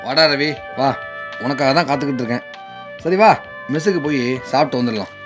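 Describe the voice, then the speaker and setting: A man's voice: medium pitch, a little fast, over music, lightly acted. A man playing a food-loving, jaded young job seeker in a Tamil radio drama, casual and grumbling. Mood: breezy, evasive.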